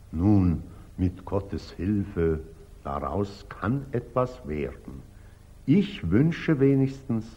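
A pompous elderly prelate in an old 1940s radio drama. The voice is low and husky, moving slowly and grandly as it preaches down at the listener. It is zealous and unctuous, with big swings of pitch. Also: male voice, dark tone, exaggerated voice. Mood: unctuous, condescending.

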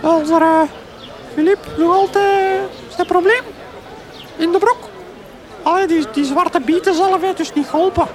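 An actress with a Flemish accent playing a chatty, resigned older village wife in a comic radio drama. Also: female voice, very high voice, steady tone, unhurried, pauses often, a little mellow, some chatter behind. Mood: matter-of-fact gossip. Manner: colloquial, gossipy.

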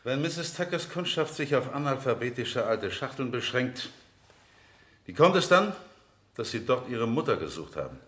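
Insistent lawyer, widely swinging pitch. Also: male voice, big pitch swings, moderate pace, fairly bright tone, echoey room. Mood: pressing. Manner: formal, pressing, theatrical cross-examination. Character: insistent, sharp-questioning male defence lawyer in court. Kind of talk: 1970 German radio drama acting.

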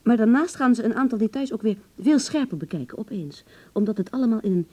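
A woman's voice: a little high, expressive, quick pace, mellow. An actress playing a vulnerable, searching young woman with a blunt streak in a Dutch radio play, speaking hesitantly and with intimate emotion. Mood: earnest, wistful.

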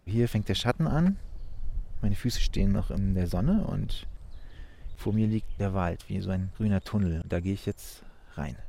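A man's voice: low-pitched, expressive, normal speed, warm tone. A man describing a walk in the first person as he performs outdoors at a forest. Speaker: performer in a walking sound piece. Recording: clearly noisy outdoor recording, clicks.

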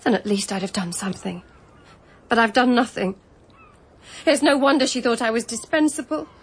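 An actress playing a bitter, hesitant former servant in a radio drama. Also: female voice, fairly high pitch, normal speed, breathy edge. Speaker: Mary. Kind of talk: BBC radio drama acting.

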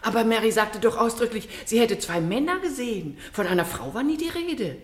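A genteel older mother from a radio play. Her voice swings animatedly in pitch, with a dry, arch wit and a talkative, well-bred manner. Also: sing-song, brisk. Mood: arch, amused. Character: older upper-class mother, dryly witty, talkative, genteel. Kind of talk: radio drama acting.

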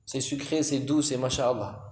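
A man's voice: mid-range voice, fairly even intonation, normal speed, darkish tone, echoey room. A man giving a religious lesson, asking his listeners questions and answering them himself, with frequent "hein" fillers and Arabic terms. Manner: addresses listeners as brothers, asks and answers own questions. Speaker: Islamic teacher. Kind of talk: lesson explaining Quran commentary.

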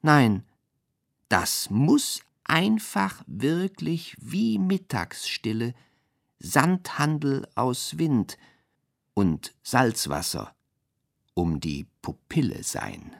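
Poetic radio drama reciter, slow, deliberate fragmented phrasing, melancholy, dry studio sound. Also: male voice, mid-range voice, sing-song, creaky, no room sound, full character voice. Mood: pensive. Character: man reciting surreal, dreamlike, melancholy poetry.

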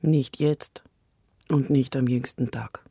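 Slow-spoken, bitter, defiant camp survivor. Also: female voice, rumbling low, steady tone, warm tone, breathy edge, no echo, clean studio sound, heavily acted. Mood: bitter defiance. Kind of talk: German radio drama acting.

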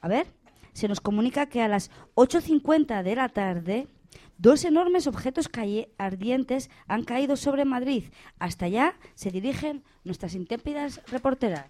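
A woman's voice: a little high, lively intonation, normal speed, mellow, natural voice. A woman playing a bossy, officious female radio anchor in a parody radio drama, with theatrical announcer delivery. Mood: officious, smug.

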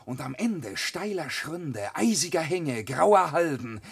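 An actor playing a young boastful peasant son who spins wild tales in a classic radio play, swinging from loud to hushed. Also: male voice, fairly high pitch, very animated, takes it slowly, creaky, breathy edge, exaggerated voice. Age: young adult.

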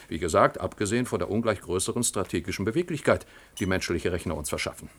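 An actor playing a smug, scheming middle-aged general in a German science-fiction radio drama, with an oratorical, condescending manner. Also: male voice, low-pitched, lively intonation, fast talker. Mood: smug, calculating.

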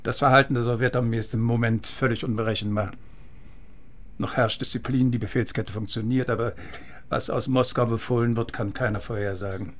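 A man playing an older East German general, sardonic, condescending and deliberate, in a radio drama. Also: medium pitch, steady tone, lightly acted. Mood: grave, measured. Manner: mocking, repetitive, deliberate military speech. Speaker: actor.

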